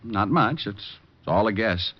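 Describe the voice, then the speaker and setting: Low-voiced wry private eye. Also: male voice, expressive, a little slow. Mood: reasoning, brisk. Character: cool wisecracking male private detective. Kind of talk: 1940s-50s radio acting. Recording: dull vintage radio recording.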